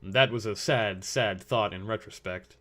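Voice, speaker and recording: A man's voice: fairly low pitch, measured pace, fairly bright tone. A man narrating a scripted story as its reader.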